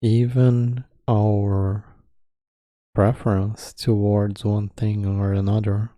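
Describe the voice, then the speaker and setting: Male meditation guide: low, very mellow, even delivery, calm and unhurried with long pauses. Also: no room sound.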